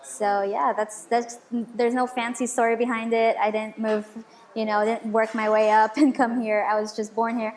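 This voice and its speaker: A woman in her twenties chats as a podcast guest in a slightly high voice. She talks casually and freely, with frequent fillers and quick restarts as she tells her story. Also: level tone, a little mellow. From Philippines, raised in the Middle East. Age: 20s. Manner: chatty, with many filler likes and quick restarts. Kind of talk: casual interview conversation.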